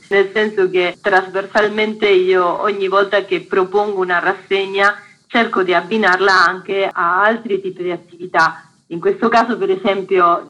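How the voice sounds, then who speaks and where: A woman's voice: lowish voice, fairly bright tone, rough recording. A woman talking effusively and emphatically as a guest in a radio interview, presenting events with enthusiasm. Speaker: interview guest, cultural centre director presenting a theatre festival.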